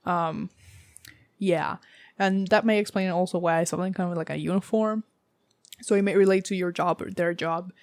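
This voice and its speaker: A young woman with a raspy, sore-throated voice, giving a casual tarot reading in a rambling way, full of fillers and the odd swear word. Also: fairly low pitch, steady tone, normal speed, darkish tone, no echo, clean studio sound. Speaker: tarot reader.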